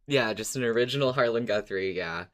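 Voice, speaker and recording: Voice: mid-range voice, brisk. A podcast host chatting casually about a story, with lots of "like" and "you know". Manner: casual, relaxed, filler-heavy.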